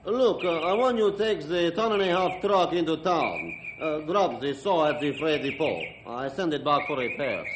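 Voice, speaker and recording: An actor playing a jovial, trusting lumber camp boss in broken English, in old-time radio style. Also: male voice, a little high, animated, normal speed, few pauses, lightly acted. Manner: broken English, tags questions with eh. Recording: noisy old radio recording.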